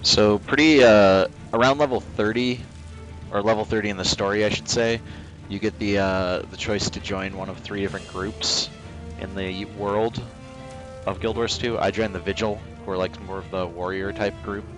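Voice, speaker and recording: A man's voice: fairly low pitch, steady tone, normal speed, rough recording. A man giving casual, offhand gameplay commentary as the host of a livestream. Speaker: livestream host, game reviewer. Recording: game music behind the voice. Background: video game music.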